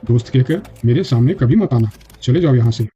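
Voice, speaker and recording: A man's voice: mid-range voice, expressive, a little fast, dark tone, natural voice. A man voicing a proud, short-tempered lion king in a children's cartoon, in a dull recording with music. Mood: angry, commanding. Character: proud, domineering lion king, quick to anger.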